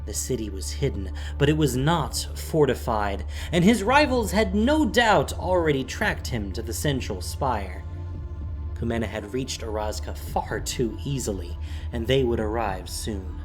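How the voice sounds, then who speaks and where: Slow, dramatic male fantasy narrator. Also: medium pitch, very animated, low-quality recording. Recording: faint music behind.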